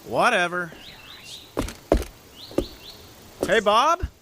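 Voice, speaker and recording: Jumpy, dry-witted male sidekick, fairly high lively voice, radio drama. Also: a little slow. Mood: sarcastic. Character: wary, dry-humored male sidekick, easily spooked. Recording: clearly noisy background.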